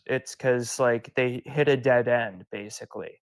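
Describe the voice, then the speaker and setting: Young man, podcast co-host, tipsy, rambling, mid pitch, dry close mic. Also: fairly even intonation, measured pace, no echo. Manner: rambling, laughs often, tipsy. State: tipsy from beer. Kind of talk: casual chat.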